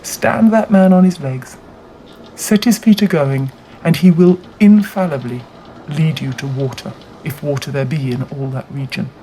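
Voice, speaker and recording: Slow, mellow literary narrator. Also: mid-range voice, poor audio. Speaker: audiobook reader. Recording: audible background noise.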